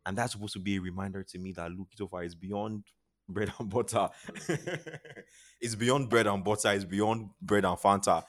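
Earnest low-voiced Nigerian man. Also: fairly even intonation, normal speed. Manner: earnest, repeats phrases for emphasis. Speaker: podcast guest, charity founder. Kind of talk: motivational interview talk.

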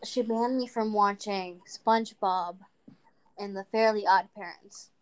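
A woman's voice: medium pitch, fairly even intonation, measured pace, smooth, low-quality recording. A woman from Venezuela, a native Spanish speaker with a Venezuelan accent, chatting casually and telling stories full of "like" fillers. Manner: chatty storyteller, lots of fillers. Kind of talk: casual chat.

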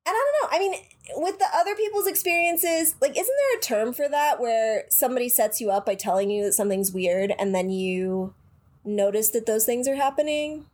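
A woman co-hosting a casual podcast chat, sounding nasal from a cold, animated and cursing freely. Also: a little high, brisk. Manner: swearing, animated, acts out quoted voices. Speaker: podcast co-host.

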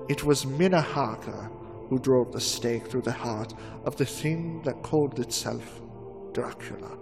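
Man as a solemn doctor narrating, husky. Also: medium pitch. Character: sober male doctor narrating events. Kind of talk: radio drama narration. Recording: long reverb with music.

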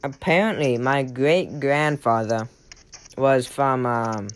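A man's voice: mid-range voice, takes it slowly, smooth. A young man with a Maine accent hosting a casual chat among friends, rambling and joking and laughing often. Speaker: podcast host. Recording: noisy home recording.

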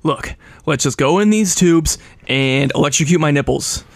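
A man playing a brash, crude, excitable survivor with a blunt manner in a comic horror roleplay. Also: on the high side, normal speed, creaky, no echo. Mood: impatient.

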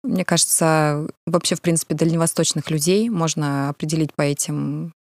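Woman guest from Kamchatka, quick chatty storyteller, low-set clear voice, dry close mic. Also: steady tone, a little mellow, dry recording. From Kamchatka, Russia. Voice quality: clear, ringing voice. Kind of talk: casual interview chat.

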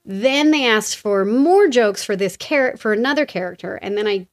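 An American woman, an associate principal and former actor, telling stories as an interview guest in quick, clear speech. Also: medium pitch. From United States. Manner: lively storyteller who acts out other people's lines. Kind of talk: casual conversational interview.

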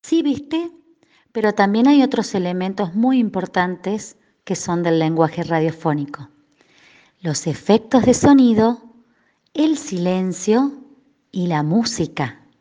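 A woman with an Argentine accent teaching in a didactic, expressive way, explaining and addressing her listeners, on slightly dull lo-fi audio. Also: medium pitch, slow pace. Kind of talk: educational explanation with spoken examples.

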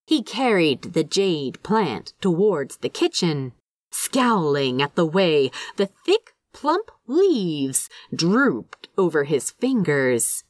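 A woman reading fiction as a podfic narrator, with expressive, dramatic delivery and deliberate phrasing. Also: lowish voice, dry recording, studio quality. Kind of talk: expressive scripted story narration.

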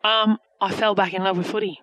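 A woman's voice: medium pitch, fairly even intonation, fairly quick, fairly bright tone, no room sound. English woman, chatty and frank. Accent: English with Australian traces. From England. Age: 20s. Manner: chatty, frank, many fillers and restarts. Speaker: interviewee footballer. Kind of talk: casual interview answers.

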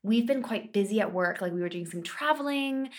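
A woman in her early thirties with a mid-range voice co-hosts a podcast. She talks casually, wandering through her thoughts. She pauses and restarts often. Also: medium pitch, animated. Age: 30s. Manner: rambling, hesitant, lots of fillers. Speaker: podcast co-host. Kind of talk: casual chat.

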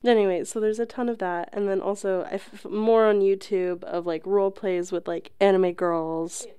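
Woman, podcast co-host, mellow tone, casual comedic chat, candid, amused, sweary, dry room sound. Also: mid-range voice, fairly even intonation, moderate pace, clear, resonant tone, no room sound.

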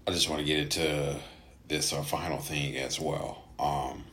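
A man who stutters, speaking casually in a halting personal monologue with frequent gaps and hesitations, as a podcast host. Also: rumbling low, fairly even intonation, a bit bright.

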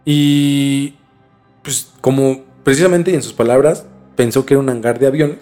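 A man's voice: medium pitch, fairly even intonation, normal speed, dark tone. Mexican man chatting over music. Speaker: podcast host. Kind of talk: casual storytelling chat. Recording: music bed behind the talk.

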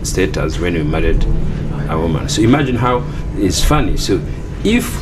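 Deep-voiced Rwandan man, halting English. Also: big pitch swings, over music. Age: middle-aged. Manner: halting, non-native English with repetitions. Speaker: podcast guest, father of the host. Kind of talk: casual reminiscing interview.

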